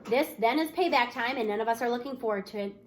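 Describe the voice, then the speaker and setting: A woman's voice: on the high side, fast talker, a little hiss or hum. A woman reading a children's novel aloud expressively, narrating as a student in the first person. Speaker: audiobook-style reader.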